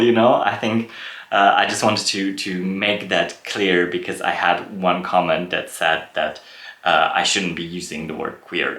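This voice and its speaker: A man from Poland, raised in Germany, hosting a podcast, talking in a thoughtful, earnest way with fillers and soft laughs. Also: low voice. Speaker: podcast host. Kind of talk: casual reflective podcast monologue. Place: room in his château in rural France. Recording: roomy echo.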